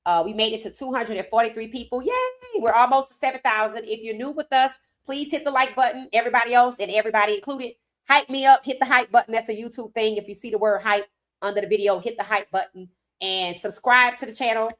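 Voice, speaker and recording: A hoarse woman hosting a sports talk stream, her voice sitting a little high. She talks in an animated, emphatic way, full of casual slang. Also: quick pace. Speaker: host. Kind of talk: sports commentary livestream.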